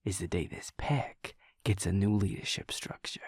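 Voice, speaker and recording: A young man playing an affectionate, cocky werewolf boyfriend in an intimate audio roleplay, casual and sweary, with a husky, hushed voice. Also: low-pitched, steady tone, moderate pace, no echo, clean studio sound. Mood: playful indignation. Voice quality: husky, breathy, often near a whisper. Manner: casual, swearing, chuckling, trailing hesitations.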